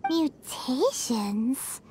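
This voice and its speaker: A woman voicing a tiny, excitable fairy girl in a video game, childlike and chirpy, full of exclamations. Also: high-pitched, drawn-out words, slight background noise, full character voice, poor audio. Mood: surprised, concerned. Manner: childlike, exclaiming, refers to herself in third person. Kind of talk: animated video game voice acting.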